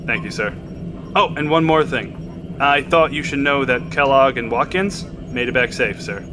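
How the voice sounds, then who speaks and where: A man's voice: medium pitch, moderate pace, background noise, close to an everyday voice. An actor playing a loyal, exhausted submarine sailor who speaks respectfully to his captain. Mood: dutiful. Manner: respectful, dutiful, frequent "sir". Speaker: sailor, coxswain. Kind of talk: audio drama acting.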